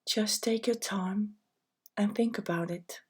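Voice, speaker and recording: A woman with an Estonian accent, a native Estonian speaker, working as an anxiety counselor and reading course slides aloud in a gentle, soft-spoken way. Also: mid-range voice, fairly even intonation, slow pace, slightly rough.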